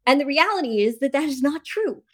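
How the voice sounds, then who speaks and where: High-pitched woman arguing a point insistently. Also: expressive, brisk. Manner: insistent, argumentative, explanatory. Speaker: guest, author and journalist. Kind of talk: debate in an interview.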